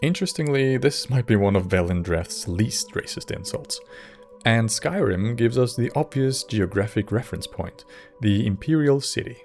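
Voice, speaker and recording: A man giving scripted narration for a game lore video in a measured, slightly wry way. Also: medium pitch, expressive, vocal fry, a little mellow, faint music behind.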